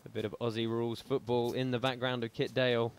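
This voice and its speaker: A male play-by-play commentator calling a live grappling match in measured phrases. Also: mid-range voice, little pitch movement, clean tone. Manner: measured, building in volume toward the finish.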